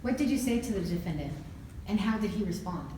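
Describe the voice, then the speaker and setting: A woman's voice: medium pitch, animated, fast, warm tone, natural voice. A woman acting a formal, probing prosecutor in an amateur courtroom drama. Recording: very echoey room, distant, faint noise.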